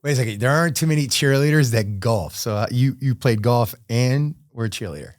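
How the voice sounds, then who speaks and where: A man's voice: mid-range voice, lively intonation, a little fast, no echo, clean studio sound. A man hosting a podcast, an upbeat and encouraging interviewer welcoming his guest. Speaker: podcast host.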